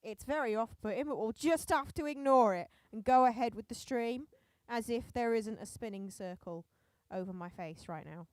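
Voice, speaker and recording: A woman's voice: on the high side, moderate pace, a little mellow, no echo. A woman musician chatting casually on her live stream, fussing over her tuning and the stream setup. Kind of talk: casual chat between songs.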